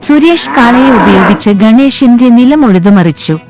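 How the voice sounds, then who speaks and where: A woman narrates a scripted moral tale for children. Her voice sits fairly high, and soft background music plays behind her. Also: expressive, unhurried. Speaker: story narrator.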